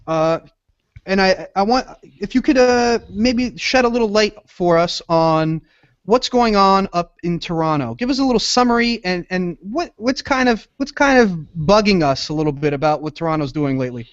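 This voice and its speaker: A man's voice: high-pitched, normal speed. A man hosting a casual soccer podcast, talking in a loose, rambling way that trails off. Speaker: podcast host. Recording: dull lo-fi podcast audio.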